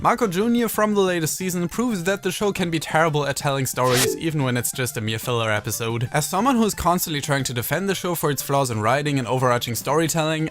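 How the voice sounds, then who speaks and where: A man's voice: fairly high pitch, fairly bright tone. A man delivering scripted review narration as a video host, with a critical, wordy and exasperated way of commenting.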